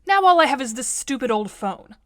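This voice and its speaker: Woman as a high-voiced, haughty teenage girl. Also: animated, fairly quick, dry recording, studio quality. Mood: sarcastic. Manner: sarcastic, scolding, composed.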